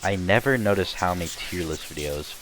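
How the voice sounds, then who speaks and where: A young man with a slightly raspy voice, chatting casually and rambling while commenting on his gameplay. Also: low-pitched, lively intonation, brisk, background noise. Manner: rambling, chatty, many restarts and fillers. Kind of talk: casual let's-play chat.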